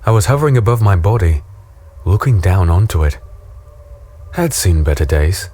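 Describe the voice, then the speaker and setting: Man as weary wounded human hero, very low, husky voice, wry, fatalistic, dark fantasy audio drama storytelling. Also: sing-song, moderate pace. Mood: bewildered, wry.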